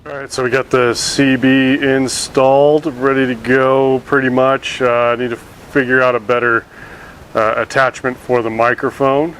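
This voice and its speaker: A man with an ordinary mid-range voice narrates his build casually, pausing with relaxed fillers as he thinks aloud. Faint background hiss sits under his voice. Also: medium pitch. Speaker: vlog host.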